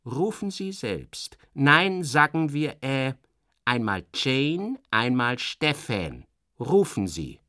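A man puts on a stern Russian spymaster for a radio play, raised in pitch above his own voice. He is officious and menacing, setting out each phrase deliberately in a close, dry sound. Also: sing-song, pushed and bright, dry recording, full character voice. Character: stern, official Russian male intelligence chief, measured and menacing.

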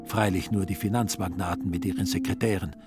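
A man reading literary radio narration in a measured storytelling manner. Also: sits low, fairly even intonation, over music. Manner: measured storytelling in reported speech.

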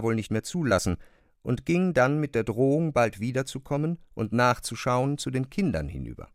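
A man reading scripted literary narration as an audiobook narrator, in a measured and precise storytelling manner. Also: mid-range voice, no room sound, clean studio sound.

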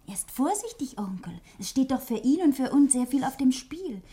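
An actress playing an affectionate young woman in a 1960 German radio play, speaking softly, with breathy asides. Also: high voice, sing-song, normal speed. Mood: anxious. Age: young adult. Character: affectionate young woman, modest, anxious for her sweetheart.

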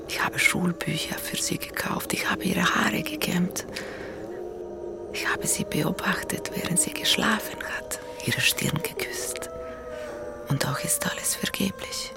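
An actor playing a desperate parent, pleading in a breathy whisper in a radio drama. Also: medium pitch, huge pitch swings, unhurried, over loud music.

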